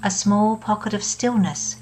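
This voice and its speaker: Slow, soothing woman guiding meditation. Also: medium pitch, slight background noise, rough recording. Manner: soft, slow and soothing, with long pauses. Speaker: meditation guide. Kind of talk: guided meditation.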